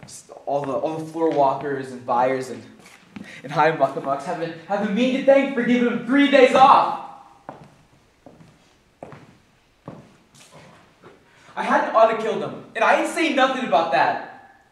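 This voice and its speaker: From far off in a very reverberant space comes a male actor as a bitter, agitated working-class clerk in a stage drama. His voice sits high and swings dramatically. He rambles in halting slang, like a man confessing and justifying himself. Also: lively intonation, fairly bright tone. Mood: pleading, resentful. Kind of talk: theatrical stage monologue. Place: theatre stage. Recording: echoey distant stage recording, faint noise.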